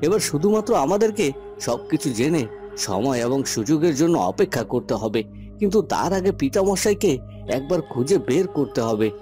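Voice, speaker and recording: A man voicing a calm, suspicious schemer in a fairytale cartoon, speaking in a measured, deliberate way. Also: a little high, soft background music. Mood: calculating. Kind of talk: Bengali fairytale cartoon voice acting.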